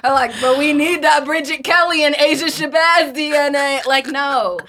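Bright, slightly high woman host, brash and teasing. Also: steady tone, moderate pace. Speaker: podcast host. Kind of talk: casual podcast chat.

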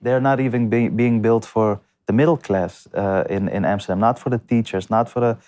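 A man answering interview questions in a halting way, with many restarts and fillers. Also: on the low side, steady tone, a little mellow. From came to the Netherlands as a refugee. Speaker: guest. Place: lives in north Amsterdam.

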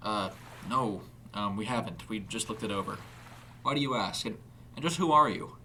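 A man's voice: fairly low pitch, fairly even intonation, moderate pace, pushed and bright, a little hiss or hum. A man voicing a curious, firm inspector with a dry, questioning manner in a narrated story. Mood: firm, skeptical.

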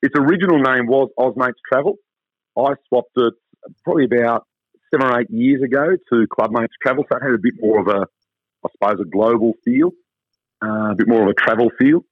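A husky-voiced Australian man comes through a thin, phone-like line with no room sound around him. He rambles cheerfully about his business, padding his sentences with fillers. His pitch sits in an ordinary middle range. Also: fairly quick, no room sound. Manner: upbeat, rambling, many fillers. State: hoarse. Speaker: travel company owner. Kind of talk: casual interview chat.

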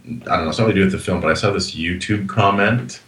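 A man's voice: sits low, fast talker, a bit bright. A man co-hosting a movie podcast in casual chat, animatedly retelling scenes with relish. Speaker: podcast co-host.

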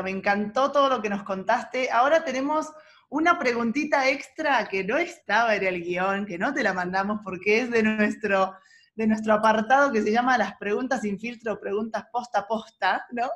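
A woman's voice: a little high, a bit bright. Chatty Argentine woman host. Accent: Argentine Spanish. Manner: friendly, chatty, quick asides. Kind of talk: casual interview chat.

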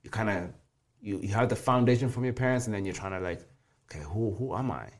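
A man in his twenties from Kenya, a personal trainer talking in a casual, animated interview, often repeating words for emphasis. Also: on the low side, fast talker. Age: 20s.

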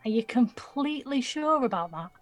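An actress playing a blunt, cheeky, streetwise nineteen-year-old woman who talks in clipped slang with a slightly husky voice in an audio drama. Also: high-pitched, expressive, normal speed, faint music behind. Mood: teasing, guarded. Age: young adult. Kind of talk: naturalistic audio drama dialogue.

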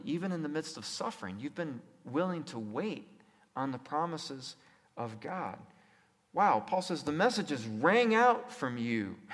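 A man preaching a sermon in an emphatic way, repeating phrases and putting rhetorical questions to his listeners. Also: a little high. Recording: echoey hall with long reverb.

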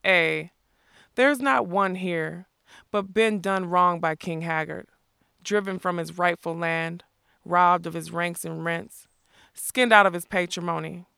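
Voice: mid-range voice, steady tone, slow pace, gravelly, sharp tone, smooth, no room sound, studio quality, full character voice. A reader voicing a boastful male outlaw captain from a storybook, declaiming in a grandiose, theatrical way. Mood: indignant, grand.

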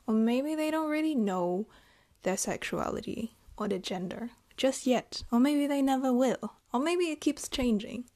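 A young woman from Germany, speaking in a reflective personal vlog, thoughtful and hesitant, with halting phrasing. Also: high-pitched, warm tone. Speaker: vlogger.

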